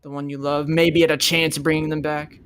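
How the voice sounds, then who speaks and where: A man's voice: fairly high pitch, level tone, fairly quick, a bit bright, clean tone. A man playing tabletop roleplay, improvising an insistent, confrontational interrogation and chatting with the table.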